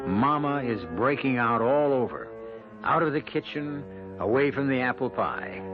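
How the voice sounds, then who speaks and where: A man hosting a radio mystery drama with theatrical scripted narration, measured, portentous and wry. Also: mid-range voice, animated, phone-quality sound. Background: music.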